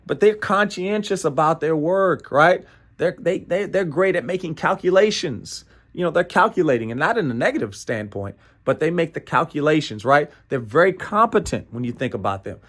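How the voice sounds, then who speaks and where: Animated American man giving a motivational teaching talk. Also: fairly high pitch, normal speed. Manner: animated, emphatic, repeats phrases, frequent "right?" check-ins; playful asides and chuckles. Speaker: presenter of a training for teachers.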